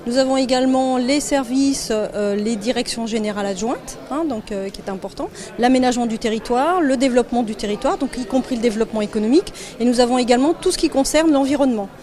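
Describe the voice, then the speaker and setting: Woman official, slightly high register, unscripted explanatory interview delivery, hesitant and itemising. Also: fast, few pauses, dark tone, soft background music. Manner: hesitant, explanatory, listing item by item. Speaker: local government administrator being interviewed. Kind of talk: spontaneous interview answers.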